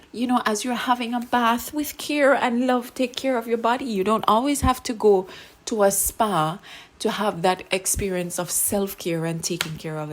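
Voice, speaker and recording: Soft husky woman guiding a reflection. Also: mid-range voice. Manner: gentle, soothing, drops to whispers. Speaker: segment presenter. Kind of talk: guided self-care reflection.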